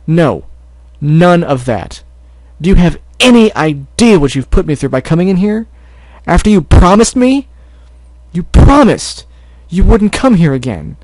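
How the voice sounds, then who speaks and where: A man voicing an exhausted adult stallion who shouts and scolds his little sister. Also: mid-range voice, normal speed, no room sound, close to an everyday voice, low-quality recording. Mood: angry. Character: adult stallion, exhausted and angry big brother.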